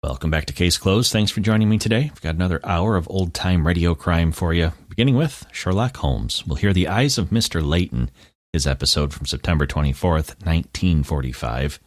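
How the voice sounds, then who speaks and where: A man's voice: deep voice, brisk, dry recording. A man giving a scripted introduction as a podcast host. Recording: dry close studio, music underneath. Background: music.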